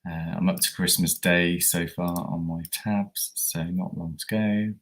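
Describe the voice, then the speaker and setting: Croaky low-voiced man. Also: fairly even intonation, unhurried, a bit bright. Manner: chatty, rambling with many fillers. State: croaky, coming down with a cold. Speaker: eBay reseller livestream host. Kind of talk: casual livestream chat.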